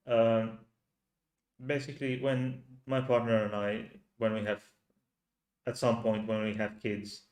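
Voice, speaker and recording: A man from Finland with a Finnish accent, a native Finnish speaker, hosting a livestream and talking in slow, halting rhetorical questions to his viewers. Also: lowish voice, flat delivery, darkish tone, reverberant. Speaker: channel host. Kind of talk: livestream commentary reading chat.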